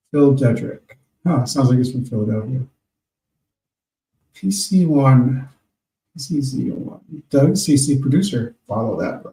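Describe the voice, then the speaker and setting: A man's voice: mid-range voice, creaky, dark tone, recorded from a distance. A man in his late fifties hosting an internet radio show, rambling casually and reading out listener names. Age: 50s. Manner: meandering, reads out listener names. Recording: echoey room, voice off-mic.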